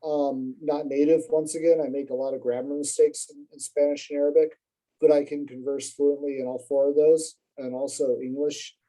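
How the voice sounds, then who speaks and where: Dark-voiced man chatting casually. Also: fairly high pitch, flat delivery, moderate pace, low-quality recording. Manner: rambling, self-deprecating, lots of fillers and restarts. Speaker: podcast guest. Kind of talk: casual conversational storytelling.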